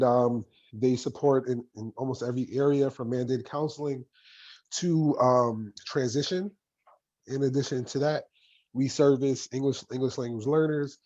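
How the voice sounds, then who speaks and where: A man's voice: mid-range voice, darkish tone. A man presenting his school's support services at a virtual school fair, upbeat and plain over a video call. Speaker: school staff member. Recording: dull muffled video call audio.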